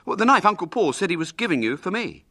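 A man plays an earnest storybook schoolboy. The voice is pushed high and bright, swooping with insistence. He blurts out his words, eager and quick to take offence. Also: very animated, husky, dry recording, full character voice. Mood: expectant, insistent.

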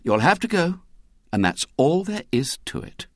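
Man reading a gently firm middle-aged mother, lifted pitch, dry close read. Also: sing-song, moderate pace, no room sound. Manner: patient, matter-of-fact, gently firm.

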